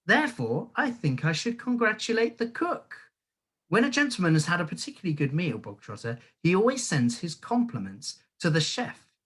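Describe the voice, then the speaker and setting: A storyteller voicing a cruel, bullying headmistress who booms and barks insults at a child. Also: very animated, moderate pace, fairly bright tone, breathy edge. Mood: sneeringly sweet. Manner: booming, barking insults, mock-sweet when menacing. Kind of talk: audiobook character voice.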